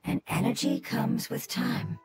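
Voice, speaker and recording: A woman voicing a gentle floating fungus creature in a video game, with soft, measured, reflective speech. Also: a little high, completely flat delivery. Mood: serene. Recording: game music under the voice.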